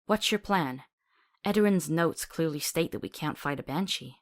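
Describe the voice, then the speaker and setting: A woman playing a compassionate, sharp-tongued companion to a monster hunter in a fantasy visual novel, earnest and articulate. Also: low voice, moderate pace. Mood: doubtful.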